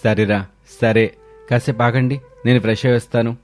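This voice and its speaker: A man's voice: medium pitch, fairly even intonation, brisk, lightly acted. A man voicing a busy, affectionate husband and father in a cartoon family story. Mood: indulgent. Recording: music bed under voice.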